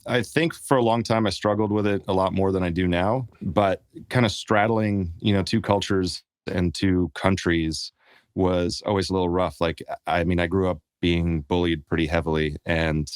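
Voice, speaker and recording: Very deep-voiced Czech-born man, thoughtful. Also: fairly even intonation, normal speed, no room sound, studio quality. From Czech Republic. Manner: thoughtful, hesitant, many fillers. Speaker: cinematographer, guest. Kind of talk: casual interview.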